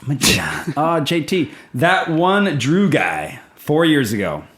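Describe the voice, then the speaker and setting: A man's voice: a little high, big pitch swings, slow pace, fairly bright tone, roomy sound. A man co-hosting a casual podcast, reading comments aloud and laughing often. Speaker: podcast co-host. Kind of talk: casual chat.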